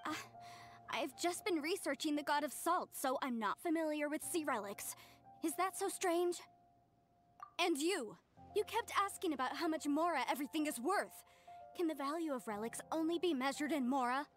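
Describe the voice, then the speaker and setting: Woman playing a high-voiced, earnest young scholar. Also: normal speed, faint music behind, lightly acted. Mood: defensive. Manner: hesitant, earnest, defensive. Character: timid but earnest young female archaeologist, easily indignant. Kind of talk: anime video game voice acting.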